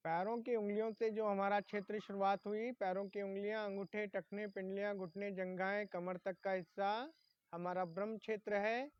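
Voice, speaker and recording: A man's voice: high voice, little pitch movement, moderate pace, smooth. A man leading a guided relaxation meditation as a yoga instructor, giving instructions and naming body parts in short phrases. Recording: dull lo-fi sound in echoey room.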